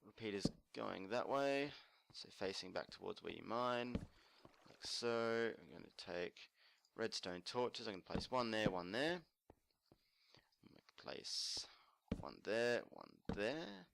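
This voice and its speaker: A man casually narrating a building tutorial while playing, murmuring to himself and correcting himself as he goes, his voice a little croaky. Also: on the low side, expressive, slow pace, a bit bright, a little hiss or hum. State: slightly croaky from screaming at a concert. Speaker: let's play host.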